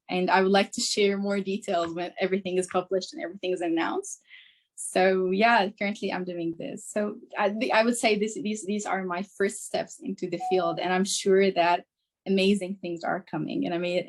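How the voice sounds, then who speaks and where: A woman's voice: lowish voice, little pitch movement, normal speed. A young woman with Arabic as her first language, from Syria, speaking as a guest engineer on a video call in an earnest, eager way. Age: young adult. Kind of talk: casual conversational answer.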